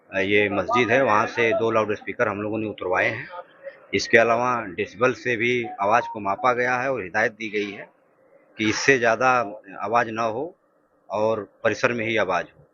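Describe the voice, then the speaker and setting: A man's voice: lowish voice, steady tone, normal speed. A male police officer giving a formal, explanatory statement to reporters. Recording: dull news interview audio, faint noise.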